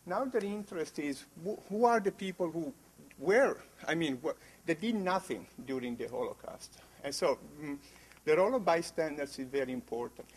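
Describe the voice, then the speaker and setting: A man with an Italian accent giving an academic conference presentation, halting often with fillers and restarts. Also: fairly high pitch, expressive, husky, rough recording. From Italy.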